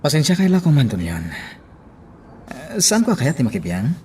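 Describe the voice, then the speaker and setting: An actor with an Ilocano accent playing an earnest, apologetic priest in a radio drama. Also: male voice, a little high, huge pitch swings, moderate pace, darkish tone, a little hiss or hum. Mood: concerned. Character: Padre Simon, earnest apologetic village priest.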